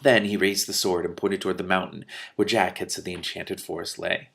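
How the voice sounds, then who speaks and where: A man's voice: sits low, little pitch movement, a little fast, vocal fry, fairly bright tone. A man reading a story aloud expressively, with some casual commentary of his own. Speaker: book reader and commentator.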